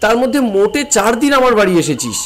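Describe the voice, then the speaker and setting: A man's voice: high-pitched, very animated, quick pace, a bit bright. A man voicing a worried younger brother. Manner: pleading, worried and affectionate. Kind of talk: Bengali cartoon voice acting.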